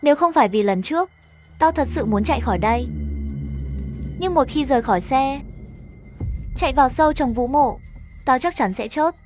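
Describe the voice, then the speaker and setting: A woman with a high-pitched voice narrates a scripted horror animation story, voicing the dialogue as she goes. Faint music plays underneath her. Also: lively intonation, normal speed, pauses often.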